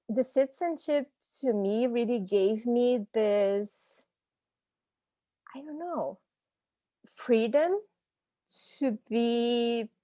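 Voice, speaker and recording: Slow, reflective Brazilian woman. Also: fairly high pitch, a little mellow, no room sound, clean studio sound. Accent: Brazilian Portuguese. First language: Portuguese. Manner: reflective, searching for words. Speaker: panelist and language teacher. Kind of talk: personal reflection on a panel. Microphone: video call.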